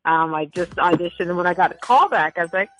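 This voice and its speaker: A young adult woman from Jamaica with a Jamaican accent, an actress talking about her career as a guest on a phone interview, lively and quick to laugh. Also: lowish voice, soft background music, rough recording. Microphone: phone line.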